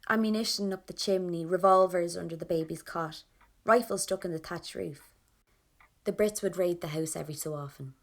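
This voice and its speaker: A woman's voice: lowish voice, normal speed, natural voice. An actress playing a nervy, plain-spoken young Irish rebel woman, telling her story in an intimate first person with an Irish accent. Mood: wry, tense. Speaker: narrator in an audio drama. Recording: clear background ambience noise.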